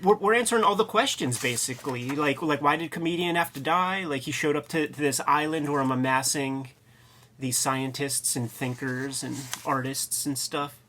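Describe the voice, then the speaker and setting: Guy with a kinda higher voice just riffing, slangy and rambly, co-host chat. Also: normal speed, bright, forceful tone, a little hiss or hum. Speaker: co-host of a comics review show. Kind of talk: casual commentary chat.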